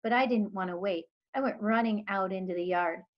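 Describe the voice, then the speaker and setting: Female teacher narrating a children's book expressively, mid-pitched voice, slightly lo-fi recording. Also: a little fast. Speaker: teacher reading aloud.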